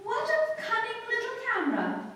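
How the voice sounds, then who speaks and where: High-pitched haughty society bride, echoey hall. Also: female voice, wildly swooping pitch, normal speed, a bit bright, full character voice. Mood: mock-gracious, gushing. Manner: grand, theatrical, mock-gracious. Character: haughty young society bride, imperious, gushing with mock sweetness. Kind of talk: old-time radio comedy acting. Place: live stage performance in a hall. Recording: echoey stage hall, distant mic, faint noise.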